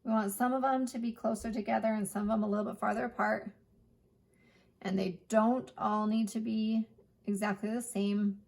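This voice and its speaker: A woman art teacher giving a chatty step-by-step painting lesson, her voice hoarse. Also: mid-range voice, steady tone, normal speed, darkish tone. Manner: chatty, plain instructional talk.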